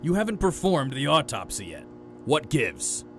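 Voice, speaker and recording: A man's voice: medium pitch, wildly swooping pitch, normal speed, over music. A man playing an impatient, curt police commissioner under strain in an amateur audio drama. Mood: weary, impatient. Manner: curt, irritable. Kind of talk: fan audio drama acting.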